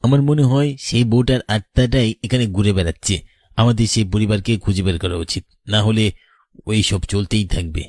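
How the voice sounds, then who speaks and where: A man's voice: lowish voice, brisk, a little mellow, dry recording, clean studio sound, close to an everyday voice. A man voicing a concerned, sober young madrasa student in a horror cartoon.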